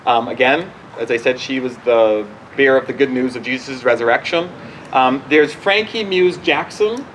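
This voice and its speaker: A man in his forties from Barbados, a bishop informally answering questions outdoors in a halting, conversational way that often restarts sentences. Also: mid-range voice, fairly bright tone, a little hiss or hum, poor audio. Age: 40s. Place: outdoors at a church gathering.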